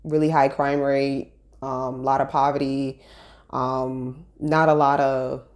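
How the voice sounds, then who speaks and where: A woman's voice: rumbling low, little pitch movement, slow, a little mellow. A young woman from Brooklyn, telling her story casually as an interview guest, laughing often. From Brooklyn, New York. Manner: laid-back, chatty, laughs often.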